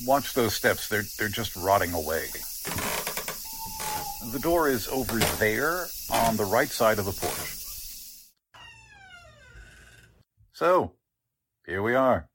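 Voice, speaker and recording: A man plays a genial small-town doctor in a 1940s-style radio drama. He muses in a folksy, hesitant way with lively swings of pitch. There is clear background noise under the voice. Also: unhurried. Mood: grave. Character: genial, chatty small-town male doctor, easygoing, slightly theatrical.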